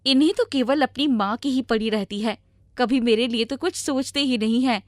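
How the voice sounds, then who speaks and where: A woman's voice: fairly high pitch, normal speed, natural voice. A woman voicing a scheming, resentful wife in a story, with a complaining, dismissive manner. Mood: resentful grumbling. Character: scheming, resentful young wife who lies and grumbles. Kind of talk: animated storybook dialogue.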